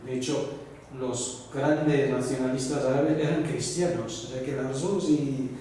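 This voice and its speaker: A man's voice: mid-range voice, steady tone, a little slow, dark tone, a little hiss or hum. A man lecturing a university class and taking questions, who keeps checking in with tag questions. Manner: explanatory, frequent "¿no?" tag questions. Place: large echoey classroom. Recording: echoey hall, voice from far off.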